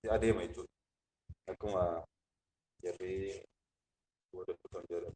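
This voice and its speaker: A man teaching an Islamic creed class. He reads short Arabic phrases from a text, explains them slowly, and checks on his listeners. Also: low voice, steady tone, a crowd in the background. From Ethiopia. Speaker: religious teacher.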